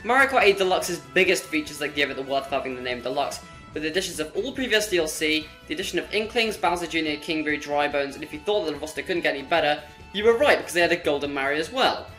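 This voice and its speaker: A man's voice: on the high side, lively intonation, fast, bright, forceful tone, faint music behind. A teenage male video host reading a scripted game review in a wry, tongue-in-cheek manner.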